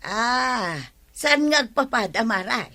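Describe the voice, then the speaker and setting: A woman voices a curious village neighbor in a radio comedy. Her voice is bright and a little high, and she keeps pressing with questions. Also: expressive, slow pace, heavily acted. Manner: inquisitive, questioning. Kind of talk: radio comedy drama acting.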